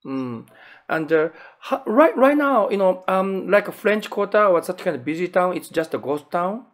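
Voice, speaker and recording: A man's voice: sits high, very animated, normal speed. A man with a Japanese accent, a native Japanese speaker, interviewing casually in hesitant, non-native English.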